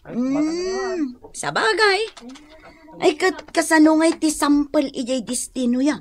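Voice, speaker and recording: A woman's voice: high voice, expressive, slow. An actress playing a nagging, motherly village storekeeper in an Ilocano radio drama, chiding and colloquial. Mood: wary.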